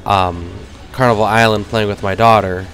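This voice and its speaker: A man's voice: fairly low pitch, steady tone, normal speed, fairly bright tone, soft background music, rough recording. A man in his thirties with a cold that leaves him nasal, giving rambling, self-deprecating casual gameplay commentary. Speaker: gaming commentator.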